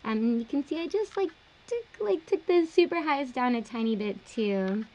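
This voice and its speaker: A young woman producer casually walking through her vocal processing in a home studio, relaxed and chatty with plenty of fillers. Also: high-pitched, normal speed, noisy background. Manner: relaxed, explanatory, uses fillers like um and like. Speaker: music producer. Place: small home studio indoors.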